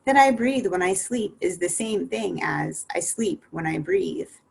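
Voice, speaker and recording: A woman's voice: on the low side, a little slow, creaky, husky, heavily acted. A woman voicing a sleepy little male dormouse in a storybook reading, drowsy and mumbling.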